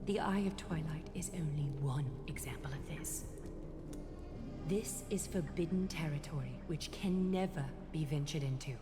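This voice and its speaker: A woman voicing a stern, regal female guardian who speaks in a formal, measured way. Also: low-pitched, lightly acted. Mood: solemn. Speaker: guardian judging outsiders. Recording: game audio with reverb and music.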